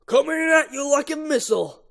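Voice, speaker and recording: A man voicing a cocky, hot-headed young male tennis player in a loud, aggressive, overblown way. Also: very high-pitched, expressive, brisk, creaky, pitched above the performer's own voice, exaggerated voice.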